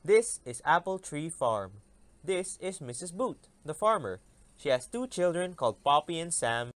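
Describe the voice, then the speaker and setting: A man reading a children's story aloud, narrating in an expressive way. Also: fairly high pitch, measured pace. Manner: expressive, animated delivery of story dialogue. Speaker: storybook reader.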